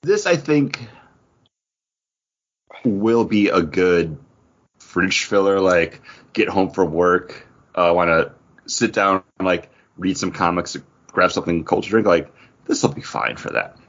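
Low-pitched man, husky voice, American accent, casual podcast host, slightly dull recording. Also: a little slow, pauses often, fairly bright tone.

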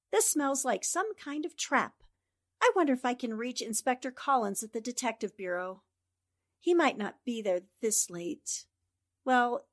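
Radio-drama girl reporter female actor: high-pitched, animated, plucky and courteous. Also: full character voice. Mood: suspicious. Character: plucky, suspicious female newspaper columnist. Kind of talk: 1940s radio drama acting.

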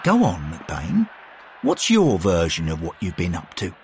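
A man's voice: low-pitched, wildly swooping pitch, a little slow, a little mellow, a little hiss or hum, heavily acted. A man doing a stern blustering older fellow. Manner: scolding, commanding.

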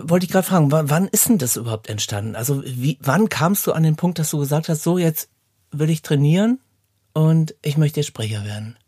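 A man's voice: fairly high pitch, animated, fairly quick, a little mellow, slightly rough, no echo, clean studio sound. A man hosting an interview in a casual, conversational style, asking questions and commenting. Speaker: interviewer, host.

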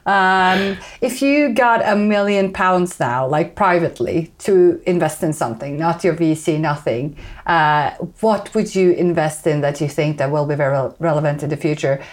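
Low-voiced woman interviewer. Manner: playful, quick-fire questions. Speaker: podcast host, interviewer. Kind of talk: casual interview.

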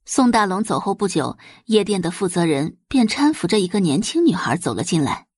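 A woman's voice: on the low side, very animated, a little fast, a little mellow, slightly rough, no room sound. A woman reading scripted, dramatic audiobook narration as the narrator of a story.

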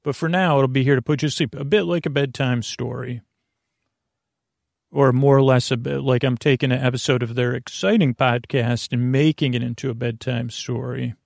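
A man with a creaky, gravelly, mid-pitched voice hosts a bedtime podcast in a close, dry recording. He wanders through his sentences, doubling back and trailing off, in a soothing and reassuring manner. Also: fairly even intonation, a little mellow, dry recording. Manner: meandering, halting, repeats and trails off mid-thought. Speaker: podcast host. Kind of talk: rambling bedtime sleep podcast intro.

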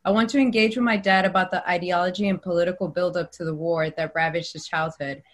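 A woman with a fairly low voice moderates a Q&A session. She reads out audience questions aloud, steady and plain, pausing on "um" between phrases. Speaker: event moderator.

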